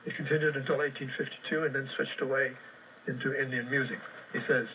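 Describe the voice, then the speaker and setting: A man hosting a shortwave radio news show, reading listener reports and frequency lists in a brisk, matter-of-fact way with dry sarcasm. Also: mid-range voice, steady tone, bright, forceful tone, muffled recording. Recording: distant, faintly noisy shortwave radio relay.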